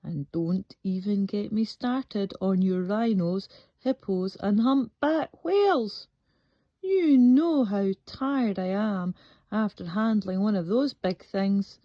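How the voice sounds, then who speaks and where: A woman voicing an exhausted, overworked grey crayon from a storybook, with weary, drawn-out exasperation. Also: medium pitch, natural voice. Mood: weary, exasperated. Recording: dull, echoey room recording.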